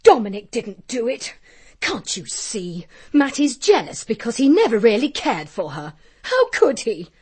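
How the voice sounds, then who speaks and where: An actress playing a spoiled, haughty young wife in a radio drama, husky, indignant and biting. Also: female voice, on the high side, very animated, unhurried, darkish tone, heavily acted. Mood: indignant, spiteful.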